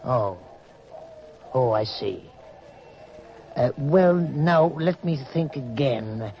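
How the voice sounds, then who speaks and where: A man's voice: medium pitch, expressive, very slow, faint music behind. An actor playing a muddled, testy old townsman in a biblical radio drama, dithering as he talks. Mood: musing. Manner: dithering, hemming and hawing. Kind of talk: old-time religious radio drama acting. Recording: dull, noisy old radio recording.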